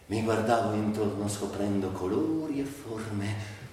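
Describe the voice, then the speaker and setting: An actor playing a bitter, sardonic, foul-mouthed sick man in a volatile theatrical monologue, with drawn-out words and breathy outbursts. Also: lowish voice, warm tone, lightly acted. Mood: haunted, wistful. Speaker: stage actor performing a monologue. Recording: echoey hall, distant mic, faint noise.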